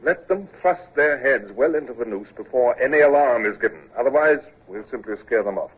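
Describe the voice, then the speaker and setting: An actor playing a decisive naval commodore in an old radio drama, giving orders and narrating in a clipped, commanding way. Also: male voice, mid-range voice, expressive, slightly rough. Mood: briefing. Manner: clipped and commanding, with halting restarts. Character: naval commodore, middle-aged man, dry and decisive. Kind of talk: 1950s radio drama acting. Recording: muffled old radio broadcast with hiss.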